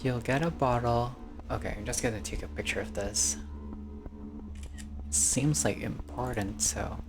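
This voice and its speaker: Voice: mid-range voice, measured pace, music in the background. A gamer reading a visual novel aloud in a casual let's-play style, breaking off into joking, sweary asides.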